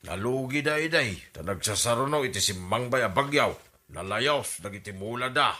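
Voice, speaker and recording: A man's voice: medium pitch, lively intonation, normal speed, bright, forceful tone. A man with an Ilocano accent playing a sympathetic, patient middle-aged father in a radio drama.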